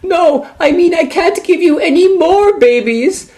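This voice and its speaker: Screechy, high, melodramatic whiner. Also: male voice, lively intonation, darkish tone, heavily acted. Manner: drawn-out, overwrought wailing. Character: whiny, melodramatic, easily terrified character in sci-fi spoof. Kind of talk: amateur sci-fi parody sketch.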